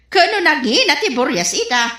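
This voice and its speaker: A woman's voice: high voice, big pitch swings, normal speed, pushed and bright, heavily acted. A woman acting a nagging, exasperated village wife with a complaining manner and an Ilocano accent, in a comedy radio drama. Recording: roomy, echoing sound.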